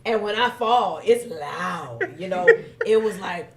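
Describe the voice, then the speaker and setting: A woman hosting a talk show. She tells stories animatedly, with a loud voice that carries and lots of fillers. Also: mid-range voice, takes it slowly, vocal fry, roomy sound, crowd noise. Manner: loud voice that carries, lively storytelling, many fillers. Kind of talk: casual chat.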